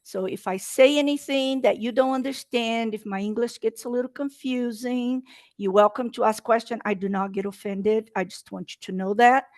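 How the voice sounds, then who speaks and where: A woman's voice: fairly high pitch, fairly even intonation, moderate pace, darkish tone, no echo, clean studio sound. A woman from Brazil with a Brazilian Portuguese accent, presenting a webinar in earnest, slightly non-native English. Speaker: state procurement presenter.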